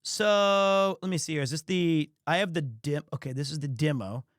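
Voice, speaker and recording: A male streamer with a fairly high voice talks casually to his viewers. He rambles and jokes at his own expense. The sound is close and dry. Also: moderate pace, no echo. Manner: joking, self-deprecating, rambling. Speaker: streamer, host. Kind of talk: casual livestream chat with viewers.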